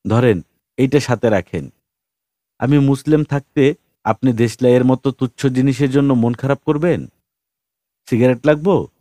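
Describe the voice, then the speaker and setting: A man's voice: mid-range voice, moderate pace, long pauses, warm tone, no echo, natural voice. A man voicing a huge, naively earnest street hustler in an audiobook, speaking colloquial rustic dialect in a respectful, pleading way. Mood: deferential, eager.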